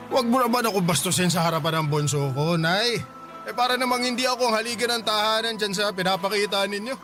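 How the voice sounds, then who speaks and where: A man's voice: sits high, quick pace, over music, natural voice. A man playing a bitter, demanding, hard-drinking widowed father in a radio drama, grumbling and defensive. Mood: irritated. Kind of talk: Filipino radio drama acting.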